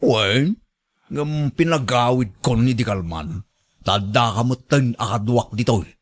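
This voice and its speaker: A man plays an elderly Ilocano man in a radio drama. His voice sits at an average pitch, and he speaks bluntly, nagging and bossing like someone used to being served. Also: unhurried, lightly acted. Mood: bossy. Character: bossy elderly man, demanding partner.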